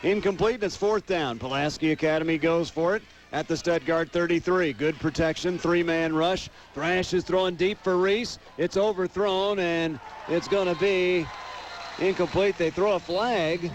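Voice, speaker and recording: A man's voice: high voice, fairly even intonation, moderate pace, highs cut off, a little hiss or hum. An American man doing live radio play-by-play commentary of a football game.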